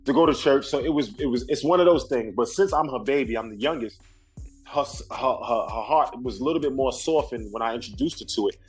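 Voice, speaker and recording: A husky-voiced man, speaking from Brooklyn roots as the guest on an interview podcast. He tells stories in a loose, slangy way, often circling back and restarting his thoughts. Faint music plays quietly under his talk. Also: medium pitch, fairly quick, poor audio. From Brooklyn, New York. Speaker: guest artist.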